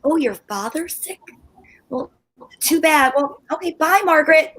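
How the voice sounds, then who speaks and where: Scheming sitcom housewife played by a woman, high and tart, radio-comedy delivery. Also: lively intonation, measured pace, fairly bright tone, poor audio. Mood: exasperated. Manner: tart, sarcastic, flustered. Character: scheming indignant housewife Liz. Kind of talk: amateur old-time radio acting.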